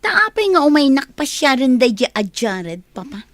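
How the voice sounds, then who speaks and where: A woman's voice: high voice, big pitch swings, moderate pace, dry recording. A woman playing an earnest, stubborn young adult daughter in a Filipino radio drama. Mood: protesting.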